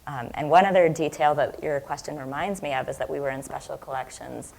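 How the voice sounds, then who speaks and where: Woman, professor, casual panel talk, very low pitch, vocal fry, faint noise. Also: brisk, darkish tone, poor audio. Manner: casual, with frequent "like" fillers.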